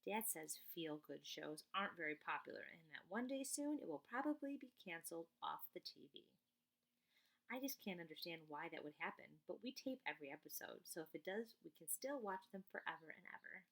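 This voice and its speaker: Lower-voiced woman reading a children's book aloud. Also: lively intonation, brisk, gravelly, a bit bright, poor audio. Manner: conversational, explains the story to young listeners. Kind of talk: storybook read-aloud with casual asides to listeners.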